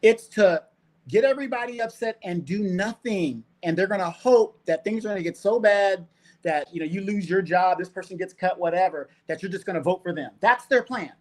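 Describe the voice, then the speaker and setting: A man's voice: high-pitched, animated, brisk, darkish tone. Emphatic American man, argumentative talker. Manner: emphatic, argumentative, addresses listeners directly. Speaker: talk show co-host. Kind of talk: casual political commentary.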